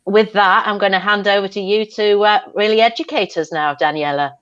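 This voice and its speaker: A woman's voice: mid-range voice, a little fast, a bit bright, clear, resonant tone. A woman hosting an online event enthusiastically introduces the next speaker. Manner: enthusiastic, repeats "really". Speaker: event host. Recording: dull lo-fi sound.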